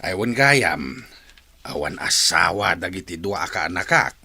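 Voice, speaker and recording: An actor playing a genial older village uncle who owns a garden, in an Ilocano radio comedy drama, speaking with an Ilocano accent. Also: male voice, low-pitched, big pitch swings, unhurried, bright, forceful tone, breathy edge, full character voice. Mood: teasing, jovial.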